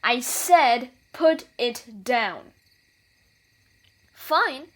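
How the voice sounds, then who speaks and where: Woman acting a high-voiced, slow-talking outlaw thief. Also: lively intonation, fairly bright tone, heavily acted. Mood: threatening. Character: wily, menacing outlaw thief woman in a fantasy world. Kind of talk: scripted fantasy roleplay audio acting.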